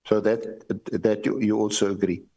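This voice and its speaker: A man with a fairly low voice and a South African accent puts formal questions at a hearing. He is deferential in a lawyerly way, often repeating words as he works toward each point. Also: little pitch movement, creaky, dark tone, rough recording. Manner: halting, repetitive phrasing with lawyerly deference. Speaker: evidence leader questioning a witness.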